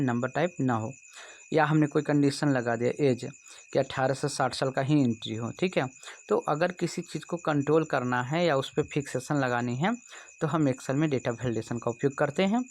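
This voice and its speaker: A man's voice: medium pitch, darkish tone. A man from India with a Bihari accent, speaking as a computer teacher in an explanatory exam-prep lesson, instructive and often checking that students follow. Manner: instructive, repeatedly checks understanding.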